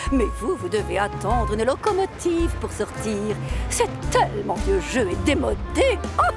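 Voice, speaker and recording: A woman's voice: a little high, very animated, a little slow, music in the background. A woman voicing a haughty, boastful female diesel railcar in a French cartoon dub, with a snooty, theatrical delivery. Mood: smug, disdainful.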